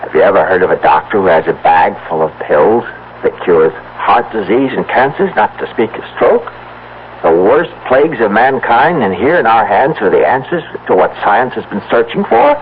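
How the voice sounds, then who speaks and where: An actor playing an excitable, stubborn farmer with plain, urgent speech in a 1970s radio drama. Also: male voice, fairly low pitch, normal speed. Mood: excited, urgent. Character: excitable, stubborn farmer husband, convinced of miracle cures. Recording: tinny, band-limited old radio broadcast, noisy.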